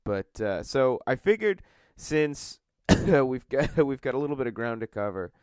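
A man's voice: medium pitch, very animated, unhurried, darkish tone, smooth, no room sound, studio quality. A man hosting a podcast, congested from a cold, chatting casually in a rambling, filler-heavy way. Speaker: podcast host.

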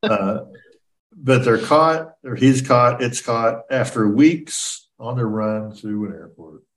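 A man's voice: medium pitch, takes it slowly, a bit bright, slight background noise. A man co-hosting a podcast in casual, joking conversation. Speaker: podcast co-host. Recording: roomy, distant from the mic.

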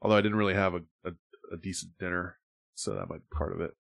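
A man with a somewhat low voice hosts a podcast in loose, casual chat. He rambles from point to point, swears freely and laughs often. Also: fairly even intonation, quick pace, gravelly. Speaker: podcast host.

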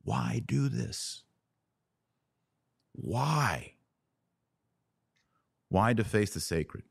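A man's voice: lowish voice, animated, extremely slow, creaky, slightly rough, no echo, clean studio sound. A man hosting a podcast, giving unscripted religious commentary in an emphatic way, repeating phrases for weight.

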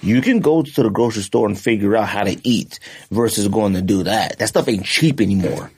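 A man co-hosting a podcast in casual chat, arguing in an animated, slangy way. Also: lowish voice, brisk, breathy edge. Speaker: podcast co-host.

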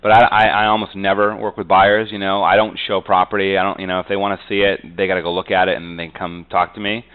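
A man's voice: sits low, level tone, fast talker, few pauses, fairly bright tone, clean tone, rough recording. A man being interviewed as a real estate agent, talking conversationally and confidently, with frequent verbal tags. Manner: frequently punctuates talk with "you know".

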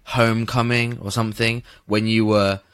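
A man's voice: fairly low pitch, flat delivery, normal speed, a bit bright, clean tone, no room sound. A man in his twenties from England, hosting a podcast and asking chatty, rambling questions. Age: 20s. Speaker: podcast host. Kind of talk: casual conversation.

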